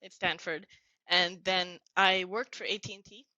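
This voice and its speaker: Middle-aged woman, earnest guest storyteller, crisp low voice, muffled dry call audio. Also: fairly even intonation, unhurried, no room sound. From United States (New Jersey). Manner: warm, earnest, grateful tone. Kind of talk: reflective conversational storytelling.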